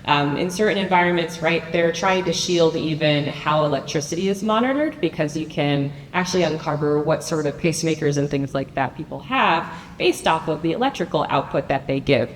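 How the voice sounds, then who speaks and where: A woman's voice: low-pitched, lively intonation, fairly quick, few pauses, roomy sound, distant mic, slight background noise. A woman moderating a conference panel in a large room, chatty and upbeat, with many fillers.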